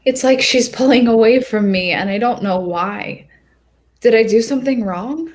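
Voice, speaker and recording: A woman narrating a short story in the first person as a lovesick, insecure young woman, earnest and expressive. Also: a little high, moderate pace, close to an everyday voice. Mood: sad, insecure.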